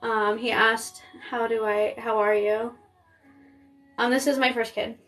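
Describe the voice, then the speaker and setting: A young woman from Maine talks through a live stream in a loose, rambling way, peppered with fillers. Her voice sits a little high. She sounds like she is chatting from home into a phone. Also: level tone, measured pace, a bit bright, soft background music, low-quality recording. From Maine, United States. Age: 20s. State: pregnant. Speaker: makeup artist streaming live. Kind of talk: casual live-stream chat.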